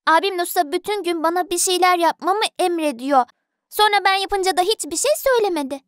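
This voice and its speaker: A performer voicing a sweet, childlike little girl, a younger sister who grumbles when slighted, in an animated children's cartoon. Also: very high-pitched, a little fast, no room sound, studio quality. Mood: grumbling.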